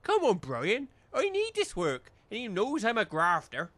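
A man's voice: high-pitched, huge pitch swings, a little slow, fairly bright tone, dry recording, heavily acted. A man playing a chummy, cajoling village labourer and chancer who speaks in rustic dialect, in a comedy audio drama. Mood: wheedling.

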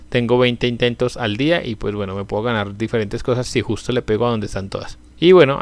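A young man, a channel host, casually walking viewers through a website in a screen-recorded tutorial, leaning on fillers as he explains. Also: mid-range voice.

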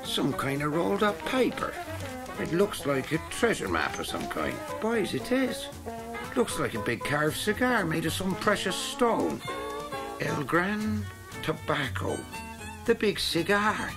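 An actor playing a wry, cigar-loving cartoon cat in a radio play, with folksy slangy grammar. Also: male voice, high-pitched, big pitch swings, a little slow, exaggerated voice. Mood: delighted. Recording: music behind, 1980s radio play.

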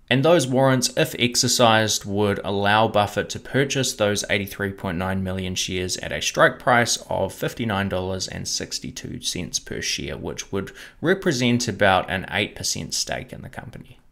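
Young male host, lowish voice, measured explainer read to camera at home. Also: vocal fry. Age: young adult. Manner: measured, explanatory, steady delivery. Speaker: investing channel host. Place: home room with bookshelf, indoors.

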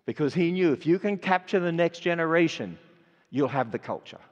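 An older man with an Australian accent preaching a sermon, stressing words and swinging between loud and quiet. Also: on the high side, animated, brisk. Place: large auditorium. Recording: dull sound with long hall reverb.

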